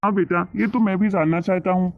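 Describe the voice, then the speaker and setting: A woman voicing a proud, gentle middle-aged father in a family cartoon, supportive and fatherly. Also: lowish voice, fairly even intonation, fairly quick, dry recording, close to an everyday voice. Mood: proud, curious. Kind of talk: Hindi cartoon moral-story dubbing. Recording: tinny phone-like sound, faint noise.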